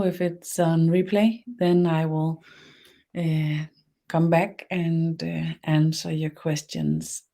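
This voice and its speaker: A woman from Denmark with a Danish accent and Danish as her first language, hosting a live-streamed spiritual webinar, speaking slowly with many fillers and restarts. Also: lowish voice, dark tone. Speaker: webinar host, spiritual teacher.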